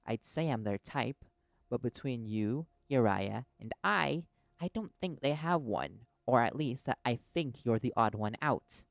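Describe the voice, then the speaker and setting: A man's voice: medium pitch, expressive, unhurried, gravelly, no echo. A man playing a stubborn, wary male reporter held captive in an audio drama, speaking in a hesitant yet defiant way. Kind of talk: audio roleplay drama acting.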